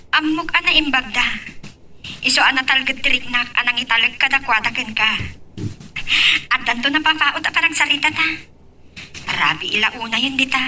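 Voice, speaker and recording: A woman's voice: sits high, sharp tone, a little hiss or hum. A woman acting a protective, plain-spoken older sister in an Ilocano audio drama, with a Filipino Ilocano accent.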